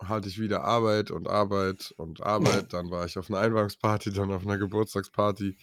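Lowish-voiced man in casual podcast chat. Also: fairly even intonation, fairly quick, noisy background. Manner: chatty, laughs often, a bit scattered. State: run-down. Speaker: podcast co-host.

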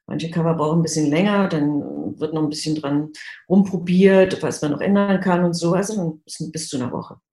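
A middle-aged woman, an illustrator, answering interview questions over a video call in a relaxed, matter-of-fact way. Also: low voice, normal speed. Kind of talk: casual interview. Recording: echoey video call audio.

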